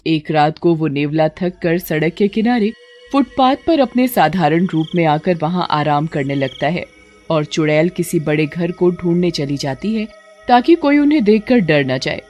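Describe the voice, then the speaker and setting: A woman's voice: lowish voice, expressive, moderate pace, a little mellow, lo-fi sound, soft background music. A woman narrating a scripted story as a storyteller.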